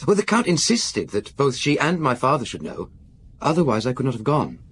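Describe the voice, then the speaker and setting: A man's voice: mid-range voice, sing-song, fairly quick, slightly rough. A man playing an earnest, nervous young aristocrat in a radio drama, speaking politely and confidingly with hesitant restarts. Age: young adult.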